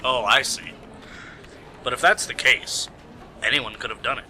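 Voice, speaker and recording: A dutiful male police sidekick in a radio mystery, played by a man. His mid-pitched voice is plain and matter-of-fact, recorded at home with clear noise behind it. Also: normal speed, sharp tone. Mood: agreeable. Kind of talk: amateur radio play acting. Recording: noisy amateur home recording.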